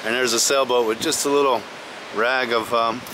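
A man's voice: mid-range voice, fairly even intonation, normal speed. A man chatting casually on camera, easygoing and chatty, calling people "man", on a windy clifftop and around town. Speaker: fellow sailor, friend of the host.

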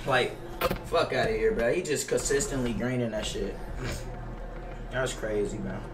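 A man's voice: mid-range voice, normal speed, echoey room, distant mic, noisy background. A young American man in his twenties chatting casually on a gaming livestream, profane, slangy and repetitive in his griping. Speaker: game streamer. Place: indoor home gaming room. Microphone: headset mic.

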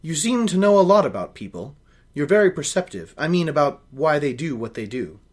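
A man reads the part of a good-natured young man in a storybook. He is inquisitive and earnest, with a lively range of pitch. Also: brisk. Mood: curious.

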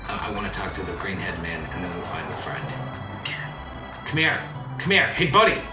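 A man hosting a casual let's play, joking in a crude, sarcastic, animated way as he plays. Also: deep voice, moderate pace, distant mic. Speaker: host playing a video game. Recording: roomy echo, game music behind. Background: video game music.

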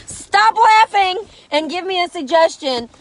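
Flustered high-voiced woman, laughing. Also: lively intonation, takes it slowly, rough recording. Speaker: mother vlogging. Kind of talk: casual selfie vlog. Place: inside a parked truck, under an open umbrella. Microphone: phone held at arm's length.

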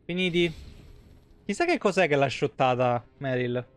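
A man's voice: mid-range voice, expressive, moderate pace, faint music behind. A young man from Italy doing casual live gameplay commentary, playful and foul-mouthed. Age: young adult. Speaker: live game streamer.

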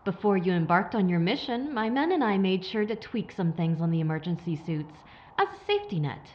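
A woman's voice: mid-range voice, lively intonation, fairly quick, highs cut off, slight background noise, natural voice. A woman playing a sly, menacing corporate operative in an audio drama, taunting with mock sympathy. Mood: smug, cold. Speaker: villain.

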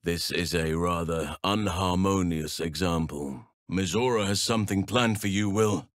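An actor playing a burly middle-aged male elf druid in a fantasy game, earnest and gentle, with measured, formal phrasing. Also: low-pitched, no room sound, clean studio sound. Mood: grave, gentle. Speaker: video game character actor.

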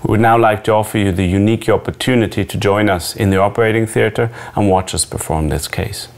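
A middle-aged man giving a measured, scripted medical explanation as a surgeon and narrator. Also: fairly low pitch.

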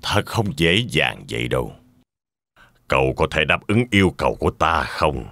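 A man's voice: very deep voice, big pitch swings, moderate pace, pushed and bright, no echo, studio quality, exaggerated voice. A man playing a stern, demanding old king in a children's cartoon dub, speaking in a formal, commanding manner.

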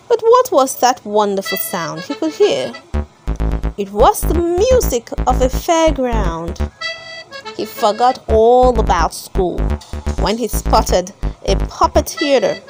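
A woman reads a storybook aloud for children. Her voice is high and very lively in its melody. She goes slowly and deliberately, phrase by phrase, as an expressive storyteller. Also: rough recording. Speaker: storybook reader.

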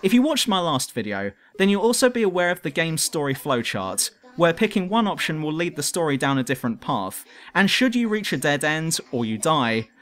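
A man's voice: fairly high pitch, very animated, fairly quick, a bit bright. A man reading scripted review narration as a video reviewer.

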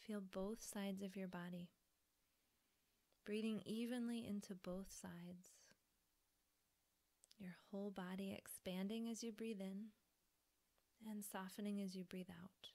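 A woman softly guiding a yoga relaxation meditation in a breathy, soothing manner with pauses. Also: medium pitch, fairly even intonation. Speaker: yoga nidra teacher.

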